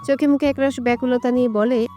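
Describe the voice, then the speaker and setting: A woman's voice: sits high, fairly even intonation, fast, mellow. A woman reading a romantic audio story as scripted narration. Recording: music bed under the voice. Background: music.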